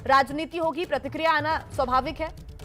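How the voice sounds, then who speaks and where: A woman's voice: high voice, fairly even intonation, brisk, sharp tone, soft background music. A woman anchoring live TV news in an emphatic manner, repeating key points as she presents.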